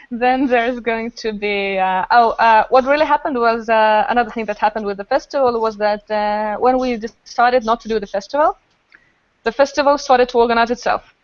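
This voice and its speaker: High-voiced woman, muffled recording. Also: normal speed, dry recording. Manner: enthusiastic, rambling storyteller. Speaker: improv festival organizer, podcast guest. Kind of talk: casual podcast conversation.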